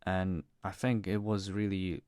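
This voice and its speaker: A man's voice: low-pitched, little pitch movement, measured pace, a little mellow, smooth, no echo, clean studio sound. A young man hosting a podcast, talking casually and reflectively, hesitant with restarts and fillers. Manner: hesitant, repeats words, many fillers. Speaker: podcast co-host.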